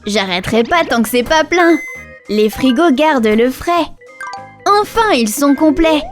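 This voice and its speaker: Very high-pitched little lion cub boy. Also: lively intonation, measured pace. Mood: eager, exclamatory. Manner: exclamatory, sing-song rhyming. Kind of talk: rhyming children's cartoon dialogue. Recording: children's music behind the voice. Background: children's music.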